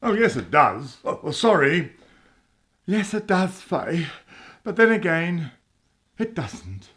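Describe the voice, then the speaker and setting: A woman playing an exasperated mother of grown children in a comic radio soap, halting, dry and melodramatic. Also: fairly low pitch, big pitch swings, fairly bright tone, husky, heavily acted. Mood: confessional.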